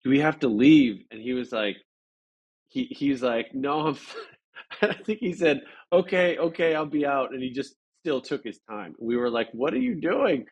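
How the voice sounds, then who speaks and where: A man's voice: medium pitch, expressive, moderate pace, slightly rough, dull audio. A man telling an anecdote as an interview guest, casual and agreeable, often stopping to correct himself.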